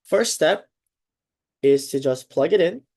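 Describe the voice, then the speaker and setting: A man's voice: fairly high pitch, animated, slow pace, a little mellow. A congested, sniffling man teaching a casual math lesson at a board, upbeat and chatty, often checking in with "okay" and "right?".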